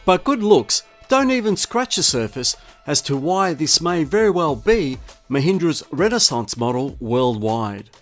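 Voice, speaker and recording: A man's voice: on the high side, sing-song, a little slow, hardly any pauses. A man reading a scripted car review narration as the reviewer. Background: music bed.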